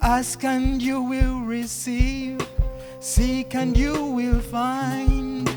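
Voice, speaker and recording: Male poet-singer, Ugandan accent, high-pitched and unhurried, chanting theatrically over live music. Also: level tone. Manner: chanting, repetitive, theatrical delivery. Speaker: spoken word performer, singer. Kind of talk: live spoken word poetry with singing.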